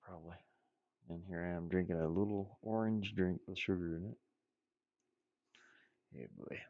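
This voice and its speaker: A man's voice: low-pitched, fairly even intonation, normal speed, dry recording, narrow, tinny audio. A man giving casual, rambling commentary while he works on game art, muttering playfully to himself and repeating words. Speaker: indie game developer streaming.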